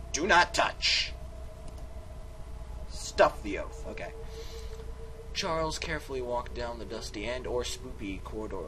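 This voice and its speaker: A man's voice: mid-range voice, bright, forceful tone, a little hiss or hum. A man doing a playful dramatic reading of a text game for viewers, rambling and interrupting himself with goofy, theatrical asides. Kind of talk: playful dramatic reading with sung bits and ad-libbed commentary. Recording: music behind the voice. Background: music.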